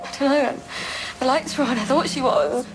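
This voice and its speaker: A woman's voice: sits high, normal speed, lightly acted, poor audio. A British woman playing a guarded, upset schoolgirl with a hesitant manner in a naturalistic police drama.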